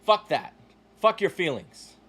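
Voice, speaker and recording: A man's voice: very animated, a little slow, breathy edge. Blunt, profane motivational man in his forties. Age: 40s. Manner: blunt and profane, swinging from loud emphatic bursts to low asides. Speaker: podcast host. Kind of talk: motivational monologue.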